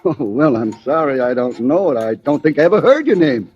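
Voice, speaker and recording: An actor playing a chatty, friendly old shopkeeper with a husky voice and folksy manner in 1940s radio style. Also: male voice, medium pitch, sing-song, fairly quick, a little mellow, a little hiss or hum, lightly acted. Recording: dull old radio recording.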